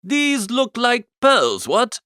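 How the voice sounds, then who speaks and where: A man playing a foppish, slangy young upper-class gentleman, earnest and indignant, in comic storybook dialogue. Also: very high-pitched, huge pitch swings, slow, clean tone, no room sound, studio quality, voice pushed up, exaggerated voice. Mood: smug, emphatic.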